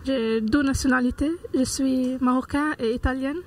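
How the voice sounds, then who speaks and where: High-pitched woman from morocco, halting speech, some faint street noise behind. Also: fairly even intonation. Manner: halting, searching for words. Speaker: interviewee. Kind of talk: personal story in street interview.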